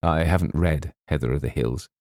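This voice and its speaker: A man's voice: low voice, lively intonation, measured pace, a little mellow, dry recording, studio quality. A man voicing a stiff, scornful male writer of detective stories in a storybook, cold and stern in manner. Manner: cold, stern, clipped disdain.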